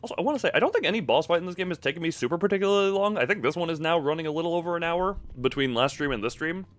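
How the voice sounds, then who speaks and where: A man's voice: fairly high pitch, expressive, rapid-fire. A man live-streaming a video game with casual commentary and chat with viewers, rambling in half-finished thoughts with playful sound words.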